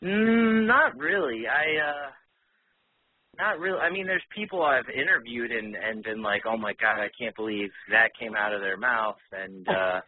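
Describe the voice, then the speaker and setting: Man, rough husky voice, informal radio guest, blunt casual talk, tinny narrow-band connection. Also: mid-range voice, normal speed, no echo. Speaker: interview guest, radio show host. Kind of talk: casual interview chat. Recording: tinny phone-like line.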